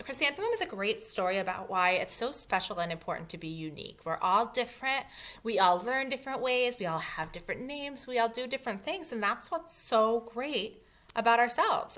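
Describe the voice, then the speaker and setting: A woman teacher reading a children's storybook aloud expressively, pausing to explain things to young listeners. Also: fairly high pitch, a bit bright.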